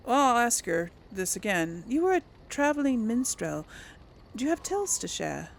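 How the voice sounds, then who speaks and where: Creaky, very animated woman playing games. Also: fairly high pitch, moderate pace, a little hiss or hum. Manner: musing, thinking aloud. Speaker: gaming host role-playing through a game. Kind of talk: casual let's-play commentary with in-character replies.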